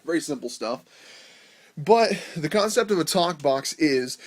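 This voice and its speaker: A man with an American accent hosting a homemade gear demonstration in casual, unscripted talk, halting and thinking aloud as he explains. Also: on the high side, lively intonation. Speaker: host of a DIY music gear video.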